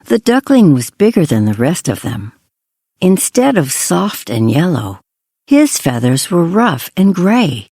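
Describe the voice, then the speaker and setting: A woman's voice: lowish voice, sing-song, unhurried, dark tone, no echo, studio quality. A woman reading a scripted fairy tale as a children's story narrator.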